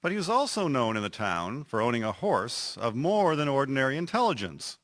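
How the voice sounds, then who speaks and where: A man narrating a scripted historical radio drama in a measured, expressive storytelling manner. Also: mid-range voice, no echo, clean studio sound.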